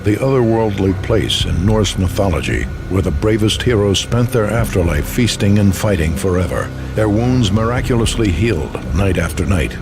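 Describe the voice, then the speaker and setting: A man's voice: lowish voice, lively intonation, normal speed, background noise. A man playing an impersonal reader of secret military files in a game, formal and detached. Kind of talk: formal document read-out in a game.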